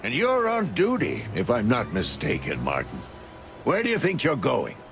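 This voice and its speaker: A gravelly old hound-dog chief. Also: male voice, medium pitch, wildly swooping pitch, moderate pace. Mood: scolding. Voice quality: gravelly and scornful. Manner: barking, bossy. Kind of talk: video game voice acting. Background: game music.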